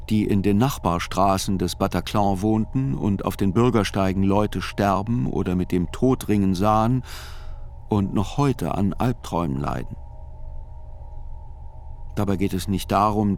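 An actor playing a writer who narrates a trial in a radio play, in a measured, sober, observant way. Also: male voice, deep voice, slight background noise.

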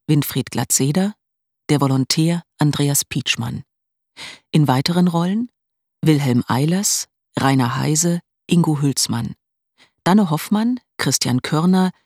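A radio announcer reading formal scripted credits in a measured, list-like way, with a breathy voice close on a studio mic. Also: mid-range voice, no room sound.

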